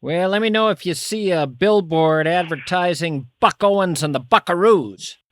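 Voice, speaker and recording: A man's voice: high-pitched, normal speed. An older man hosting a radio call-in show, playful and teasing, laughing often. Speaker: radio talk show host. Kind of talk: casual call-in chat.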